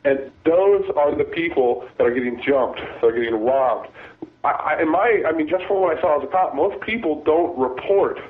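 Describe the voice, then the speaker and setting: A man, a former deputy sheriff, talking as a guest with a raspy voice from a cold, halting and restarting his sentences. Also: on the high side. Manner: halting, many restarts and repeated words. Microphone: phone. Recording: phone line.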